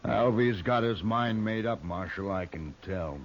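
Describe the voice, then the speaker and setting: An actor playing a domineering, menacing outlaw with rough rural speech in an old-time radio western. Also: male voice, fairly low pitch, a little slow, close to an everyday voice. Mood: cold menace. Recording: muffled, noisy vintage radio drama recording.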